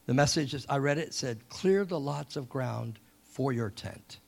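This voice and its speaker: A middle-aged man from England, preaching a sermon with animated storytelling, repeated points and slow, drawn-out scripture reading. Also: mid-range voice, gravelly.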